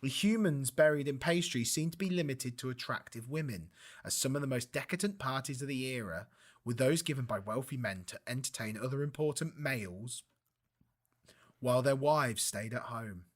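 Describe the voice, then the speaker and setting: A young man co-hosting a casual podcast, reading history facts from his notes and stopping to laugh and comment on them. His voice sits at an ordinary middle pitch for a man. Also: animated, normal speed. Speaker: podcast co-host.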